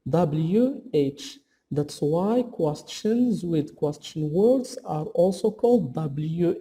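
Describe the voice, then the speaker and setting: A man teaching English grammar as a scripted lesson, deliberately reading out example questions and answers in a didactic way. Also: sits high, dark tone. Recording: long hall-like reverb on the voice.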